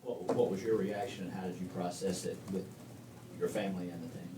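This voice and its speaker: A man's voice: sits low, fairly even intonation, fairly quick. A man working as a reporter, asking questions at a press conference. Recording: distant, echoey, noisy room pickup.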